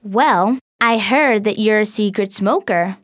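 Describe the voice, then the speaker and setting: A bossy, sneering teenage girl, as a woman reading aloud puts her on. Her lines come high and cold, with a dry, close sound. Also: very animated, moderate pace, no room sound.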